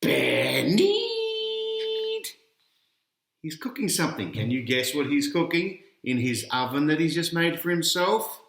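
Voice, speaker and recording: A man's voice: fairly high pitch, animated, normal speed, few pauses, a bit bright. A man reading a picture book aloud to children as its author, putting questions to his young listeners and teasing out each reveal. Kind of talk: children's storytelling read-aloud. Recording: roomy, echoing sound.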